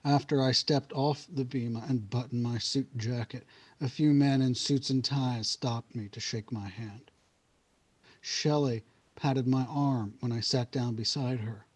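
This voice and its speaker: A middle-aged man reads his own literary prose in a steady, deliberate voice. His voice sits at an ordinary middle pitch. He then slips into relaxed conversation. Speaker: author.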